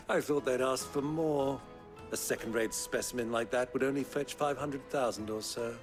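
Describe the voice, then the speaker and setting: A man's voice: mid-range voice, unhurried, music in the background, lightly acted. An actor voicing a crafty older British nobleman in a video game, with pompous, florid, sly diction. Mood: smug, amused.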